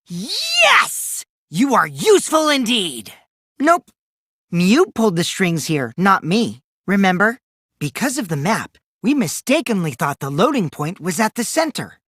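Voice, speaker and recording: A man's voice: sits high, wildly swooping pitch, slow, pushed and bright, slightly rough, dry recording, clean studio sound, heavily acted. An actor playing a mischievous teenage boy in an anime game dub, a gleeful liar who teases and taunts theatrically, shifting between singsong mockery and sly menace. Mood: gleeful, mocking.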